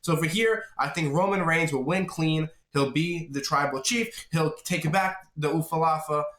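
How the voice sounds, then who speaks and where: Slightly raspy, higher-pitched man in an echoey room. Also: normal speed, a bit bright. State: getting over a sore throat. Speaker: host of a wrestling prediction video channel. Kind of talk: casual solo vlog commentary to viewers. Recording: echoey, roomy sound.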